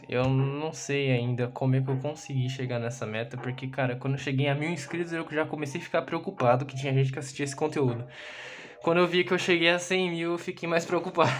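A young man with a Brazilian Portuguese accent, chatting casually and self-deprecatingly while filming a tour of his bedroom on a handheld phone. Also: medium pitch. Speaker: youtuber. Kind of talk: casual room tour vlog. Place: small bedroom with red LED light. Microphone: phone camera held in hand.

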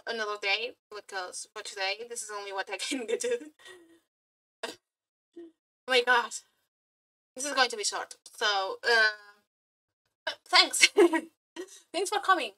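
High, bright-voiced woman, gushing fangirl streamer. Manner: gushing, flirty, giggly fangirl; halting, scattered, repeating words. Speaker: aspiring VTuber and streamer. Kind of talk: casual reaction chat to viewers.

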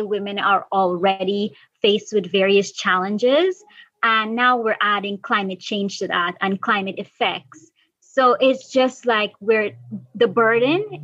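A woman's voice: medium pitch, fairly bright tone, dry recording. Young woman, slightly muffled dry sound. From Guyana. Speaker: podcast guest, environmental activist. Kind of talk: conversational interview answers.

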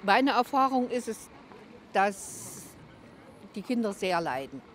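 A woman in her sixties answering a street interview outdoors in a city square, earnest and measured. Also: medium pitch, big pitch swings, slight background noise. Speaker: passer-by interviewee.

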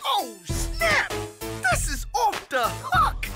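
A woman's voice: very high voice, drawn-out words, over loud music, heavily acted. An eager slangy cartoon boy. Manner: excited, slangy.

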